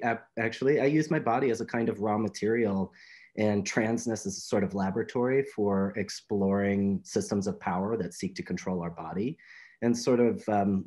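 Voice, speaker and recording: A US artist giving an earnest, halting self-introduction on a video call from home. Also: low-pitched, darkish tone. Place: home room. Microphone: video call webcam.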